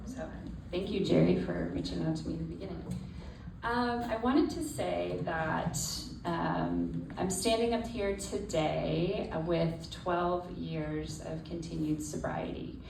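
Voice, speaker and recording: A woman gives an earnest author's speech on stage in a lowish voice with plenty of vocal fry. Her phrasing is measured, and the sound is roomy and somewhat distant. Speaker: author speaking at a literary event. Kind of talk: personal prepared speech to an audience. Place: indoor stage before a live audience. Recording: distant echoey room, faint background noise.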